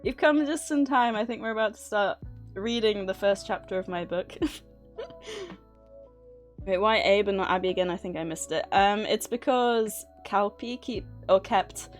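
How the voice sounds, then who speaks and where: A woman with a mid-pitched voice chats casually to her livestream audience. She comes across as nervous and giggly. Music plays behind her as she talks. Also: normal speed. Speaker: livestream host. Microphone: streaming microphone at a desk.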